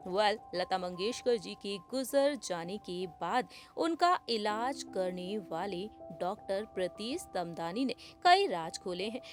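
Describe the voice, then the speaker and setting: A woman presenter reading a scripted entertainment news report. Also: mid-range voice.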